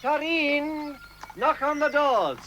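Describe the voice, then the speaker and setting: High, commanding old wizard. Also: male voice, expressive, slow pace, highs cut off, full character voice. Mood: expository. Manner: admonishing, declamatory. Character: commanding old wizard, stern but fond leader. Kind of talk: 1960s radio drama acting. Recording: noisy vintage radio drama recording.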